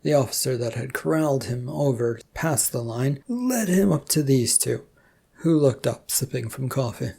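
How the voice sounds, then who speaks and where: A narrator reads scripted fiction in a mid-range voice. The delivery is gravelly and husky, with a soft, mellow tone. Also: mid-range voice, expressive, normal speed.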